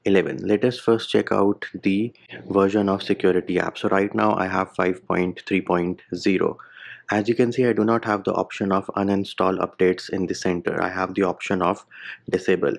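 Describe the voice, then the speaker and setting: A man's voice: deep voice, creaky. A man hosting a tech tutorial, talking through a phone demo step by step in an informal, slangy way. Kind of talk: casual how-to narration over a phone demo.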